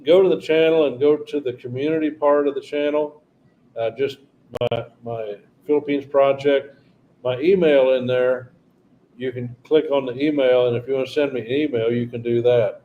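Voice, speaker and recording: A man's voice: mid-range voice, steady tone, normal speed, phone-quality sound. A middle-aged man hosting a casual live stream, rambling through memories and chatting with viewers by name. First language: English. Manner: rambling, reminiscing, talking to viewers in chat. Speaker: channel host doing a live stream.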